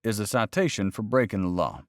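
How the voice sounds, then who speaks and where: A man's voice: on the low side, animated, a little fast, no room sound, clean studio sound, lightly acted. A man voicing a stern, officious male game warden in a read-aloud story, clipped and addressing people as son.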